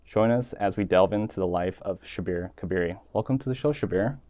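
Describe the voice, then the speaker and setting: Low-voiced man hosting an interview. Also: a little fast, dark tone, no echo. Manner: formal, earnest delivery. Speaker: podcast host. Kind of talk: scripted intro then interview questions. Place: small dim studio room, seated in armchair.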